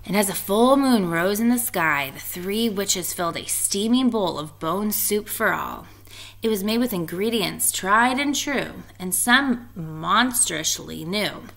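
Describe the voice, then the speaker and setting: A woman speaks to children in an informal teaching style, reading and explaining. Her voice is bright with noticeable vocal fry. She takes her time. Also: mid-range voice, expressive, measured pace.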